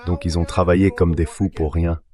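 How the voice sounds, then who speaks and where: A low male voice, synthetic in character, reads translated political commentary. It sounds like an AI dub of an interview guest. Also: level tone, normal speed, a little mellow. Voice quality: synthetic AI dubbing voice.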